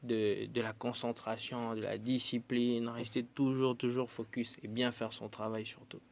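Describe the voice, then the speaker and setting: A man's voice: medium pitch, level tone, moderate pace, vocal fry, darkish tone, slight background noise. A man, a musician guest on a radio interview who answers thoughtfully and hesitates often. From Democratic Republic of the Congo. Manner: thoughtful, hesitant with frequent fillers.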